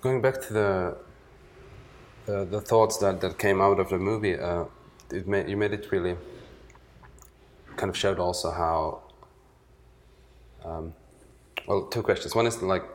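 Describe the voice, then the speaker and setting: Male interviewer, low-pitched voice. Conversational questioning, hesitant and halting, often restating as he goes. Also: fairly even intonation. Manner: tentative, halting, repeats words.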